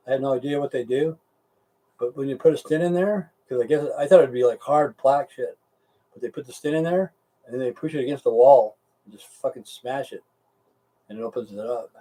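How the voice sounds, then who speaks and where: Middle-aged or older American male streamer, mellow and relaxed tone, blunt folksy rambling with plenty of swearing. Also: mid-range voice, a little fast, distant mic, poor audio. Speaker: live stream host. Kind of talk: casual rambling chat with viewers.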